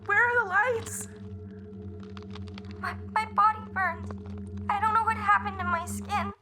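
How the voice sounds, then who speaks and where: A woman playing a terrified, disoriented hostage pleading haltingly in an audio drama distress call, with her voice pitch-shifted. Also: steady tone. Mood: anguished, despairing. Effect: pitch-shifted distress transmission. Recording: noisy intercepted transmission, background noise.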